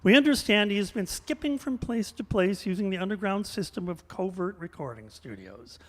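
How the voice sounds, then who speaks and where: A man's voice: high voice, animated, moderate pace. A man hosting a live variety show, announcing a guest to the audience. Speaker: show host. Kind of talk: stage announcing. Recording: reverberant hall recording.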